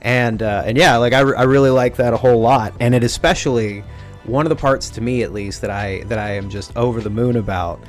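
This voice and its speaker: A man's voice: medium pitch, steady tone, a little fast, faint music behind. A young man from rural Texas hosting a casual conversation, earnest and openly emotional, talking with his hands. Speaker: podcast host.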